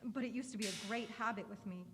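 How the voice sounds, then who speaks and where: A woman's voice: mid-range voice, quick pace, background noise, natural voice. An actress playing a sly young woman who airily protests her innocence in an old-style radio drama. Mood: airy, evasive. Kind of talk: 1940s radio drama acting. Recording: hollow, reverberant room.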